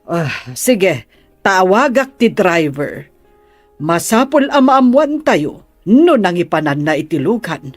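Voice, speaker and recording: A woman's voice: fairly low pitch, sing-song, takes it slowly, no echo, soft background music, full character voice. A woman playing a bossy, inquisitive lady of the house in an Ilocano radio drama. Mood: probing, suspicious.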